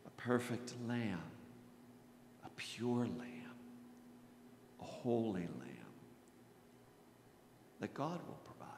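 A middle-aged American man preaching a sermon as a Lutheran pastor, with emphatic delivery and deliberate pauses. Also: on the low side, very animated, gravelly. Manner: emphatic, dramatic pauses and repetition, often dropping to a hush. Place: church sanctuary at a pulpit. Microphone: gooseneck pulpit microphone. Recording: echoey church hall with background hiss.

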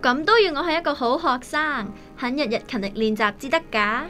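A high, girlish voice with a Hong Kong Cantonese accent, a cheeky young daughter in a radio drama. She teases her dad knowingly. Soft music sits underneath. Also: animated, brisk. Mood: teasing.

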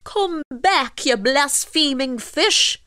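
A woman's voice: on the high side, takes it slowly, pitched above the performer's own voice. A woman voicing a hot-headed, indignant fisherman in a storybook, with dramatic, exclamatory speech. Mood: furious. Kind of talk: storybook character voice.

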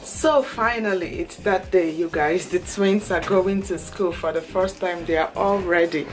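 A woman's voice: lowish voice, measured pace, soft background music. A woman in her thirties, a mom vlogger, chatting heartfelt and casual to her viewers with lots of filler words, filmed on a camera held at arm's length. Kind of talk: casual vlog talk to viewers.

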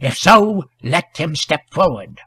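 A man's voice: on the high side, sing-song, takes it slowly, bright, forceful tone, husky, heavily acted. A man voicing a grim, formidable hangman in a storybook reading, giving peremptory orders in thick tones. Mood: commanding. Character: grim, sinister, commanding hangman gentleman in grey.

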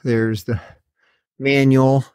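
A man's voice: mid-range voice, flat delivery, very slow, mellow, clear, resonant tone. A middle-aged or older man giving a casual, matter-of-fact product walkthrough as a video host, with a slightly wry, plain-spoken way of talking. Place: indoor garage workshop.

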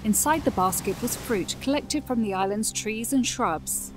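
A woman's voice: on the high side, lively intonation, moderate pace, noisy background. A woman narrating a scripted fantasy audio drama.

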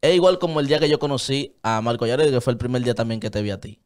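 A man with a Dominican Spanish accent, in his thirties, talking earnestly and gesturing as he makes his point. He is close on a studio desk microphone in a small padded booth, with a voice of middling pitch. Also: lively intonation, rapid-fire. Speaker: guest. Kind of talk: casual studio chat. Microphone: studio mic on a desk stand, headphones on.

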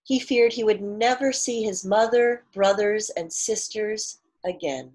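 A middle-aged woman reading a storybook aloud expressively like a teacher, deliberately stressing key words and pausing to explain. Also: mid-range voice, a little mellow. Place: small living room at home, indoors.

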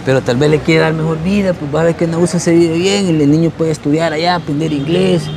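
A man's voice: a little high, fairly even intonation, fast, gravelly. A young man with a Salvadoran accent, interviewing in a casual, earnest and coaxing way. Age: young adult. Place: outdoors on a city street at night. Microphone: clip-on lavalier. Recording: busy street noise behind voice.

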